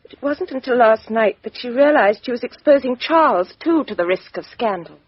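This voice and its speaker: A woman's voice: a little high, animated, a little fast, husky, no echo. An actress playing a proud, defiant, bitter young English woman in a radio mystery drama, with an English accent and clipped, intense, emotional delivery. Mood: bitter, grieving. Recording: dull, dry lo-fi radio recording.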